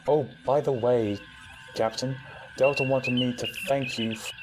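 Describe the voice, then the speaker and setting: A deferential rabbit helmsman acted by a man speaks in a mid-pitched voice over clear background music. His delivery is obedient and military, in audio drama style. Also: fairly even intonation, measured pace, natural voice, low-quality recording. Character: obedient male rabbit helm officer. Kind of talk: space opera audio drama acting.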